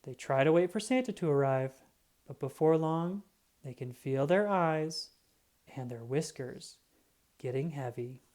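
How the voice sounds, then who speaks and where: A woman reading a children's storybook aloud in an expressive, unhurried way. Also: sits low. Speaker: children's book reader.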